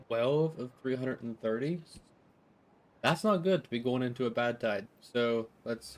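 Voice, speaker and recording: A man hosting a let's play, talking himself through each move in the game and speaking to his viewers in a friendly, direct way. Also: mid-range voice. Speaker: gaming channel host. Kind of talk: let's play gameplay commentary. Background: background music.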